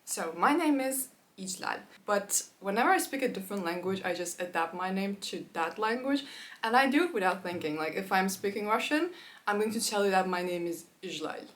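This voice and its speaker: A woman of twenty-one from Turkey, a native Turkish speaker talking casually to camera with quick asides and frequent fillers. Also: mid-range voice, animated, fairly bright tone. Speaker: YouTuber.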